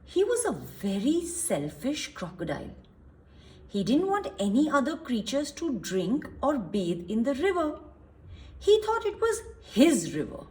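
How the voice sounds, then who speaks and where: A woman's voice: sits high, huge pitch swings, measured pace, warm tone, poor audio. A woman reading a story aloud to young children, expressively, explaining and asking questions with emphasis and repetition.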